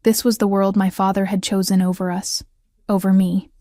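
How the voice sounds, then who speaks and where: Even-voiced woman narrating a story. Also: mid-range voice, normal speed, no echo, studio quality. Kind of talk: scripted first-person story narration.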